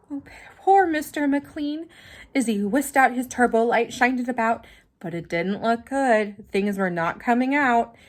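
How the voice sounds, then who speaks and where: A woman reading a children's story aloud in an expressive, dramatic manner, with simple asides for young listeners. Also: sits high. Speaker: storybook reader.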